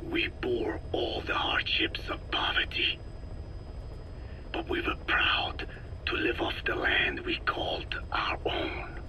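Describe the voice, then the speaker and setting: Solemn old Navajo scientist for a game: low, whispered rasp, halting and sorrowful. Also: male voice, poor audio. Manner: slow, measured, halting delivery in short phrases. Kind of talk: dramatic video game dialogue.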